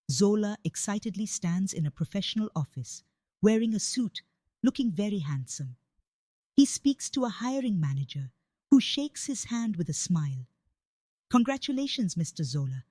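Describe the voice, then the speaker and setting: A woman's voice: medium pitch, mellow, no room sound, studio quality. A woman reading a scripted dramatic story as a storyteller.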